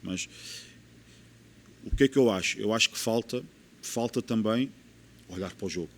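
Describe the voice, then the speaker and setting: A man's voice: fairly low pitch, moderate pace. A man with a European Portuguese accent, a football coach in a podcast interview, explaining his views earnestly and repeating key phrases for emphasis.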